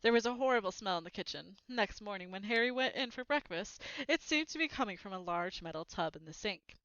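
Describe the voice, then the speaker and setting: A woman's voice: mid-range voice, expressive, fairly quick, fairly bright tone, no echo, dull audio. A woman reading a storybook aloud as narrator, expressively.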